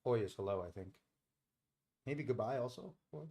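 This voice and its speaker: A man's voice: medium pitch, lively intonation, normal speed, gravelly, warm tone. A middle-aged man hosting a live stream, talking casually and explaining things in a rambling, informal way. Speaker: live stream host and trader.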